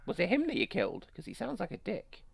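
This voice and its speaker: A woman's voice: medium pitch, huge pitch swings, fast talker, vocal fry, slightly rough, no echo. A woman streaming a game, reading the story aloud with irreverent, sweary, joking commentary. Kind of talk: game playthrough, narration mixed with casual commentary.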